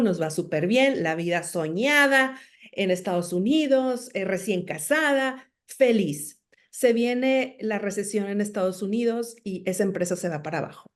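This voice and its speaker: A middle-aged woman from Monterrey with a northern Mexican accent, telling her personal story as an interview guest in an articulate, emphatic way. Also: medium pitch. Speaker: podcast guest, entrepreneur and speaker.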